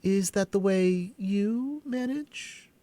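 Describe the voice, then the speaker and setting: A man's voice: high-pitched, slow pace, mellow, no room sound, voice pushed up. A man reading a storybook aloud and voicing a polite, inquisitive young girl in a raised voice. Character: prim curious young girl.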